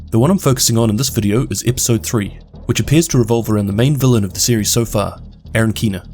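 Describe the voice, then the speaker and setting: A man with a New Zealand accent narrating a scripted lore recap in a brisk, steady delivery. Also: lowish voice. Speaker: video game lore narrator.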